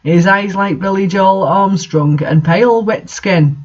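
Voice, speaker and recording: A man's voice: high voice, unhurried, lo-fi sound. A man reading a story aloud in an amused, comedic way and adding his own commentary. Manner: amused, breaking into laughter while reading. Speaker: reader hosting a bad fanfiction reading series.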